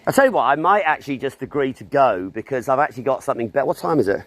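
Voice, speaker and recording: A man with a loud, sarcastic, argumentative manner, livestreaming a confrontation outdoors on his phone. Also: medium pitch, lively intonation, very fast. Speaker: street livestreamer and counter-protester. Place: outdoors near school grounds.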